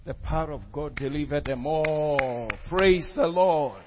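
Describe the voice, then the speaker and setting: A man's voice: on the high side, a little slow, noisy background. Fervent male preacher, lo-fi sound. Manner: fervent, exclamatory preaching with repetitions. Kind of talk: sermon testimony. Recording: dull lo-fi recording.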